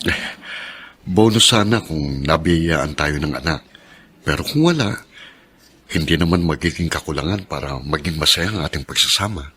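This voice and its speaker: An actor playing a tender, devoted husband in a Filipino radio drama, affectionate and reassuring. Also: male voice, very deep voice, lively intonation, moderate pace, pushed and bright, breathy edge, heavily acted. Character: tender, devoted middle-aged husband, gently teasing.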